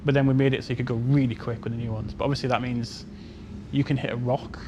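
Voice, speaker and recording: Mid-pitched man explaining, noisy stream. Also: little pitch movement, fast talker. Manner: hedging, frequent "you know". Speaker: game developer on a panel. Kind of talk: casual technical explanation.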